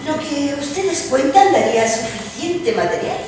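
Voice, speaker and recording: A woman's voice: high-pitched, lively intonation, unhurried. A woman playing an inquisitive, prim lady novelist in a radio drama, speaking formally and with careful phrasing. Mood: curious, reflective. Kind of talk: theatrical radio drama reading. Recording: echoey hall, distant mic, background noise.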